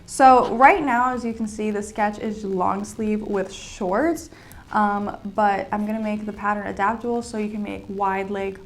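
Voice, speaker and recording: A woman's voice: medium pitch, fairly even intonation, measured pace, a little hiss or hum. A young woman hosting her own sewing and fashion channel, chatting casually and upbeat with lots of fillers, a little sick. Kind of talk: casual vlog chat. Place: indoors at home with sketchbook and iPad.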